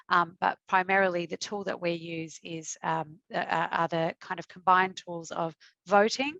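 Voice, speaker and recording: An Australian woman who runs a nonprofit, presenting a webinar with a husky voice, halting often with fillers and restarts. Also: lowish voice, a bit bright, no room sound, low-quality recording. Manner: hesitant, many fillers and restarts. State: husky from long meetings. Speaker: executive director of a nonprofit.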